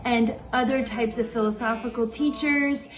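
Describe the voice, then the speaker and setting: A woman yoga teacher speaking slowly and earnestly in a recorded video message. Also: fairly high pitch, fairly even intonation, low-quality recording. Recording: music behind.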